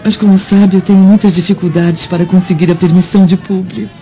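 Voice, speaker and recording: An actress playing a gentle, dutiful Roman noblewoman and wife in a radio drama, with a formal, wistful manner. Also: on the low side, fairly even intonation, a little fast, a little hiss or hum, close to an everyday voice. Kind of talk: theatrical radio drama acting. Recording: dull radio drama with faint music.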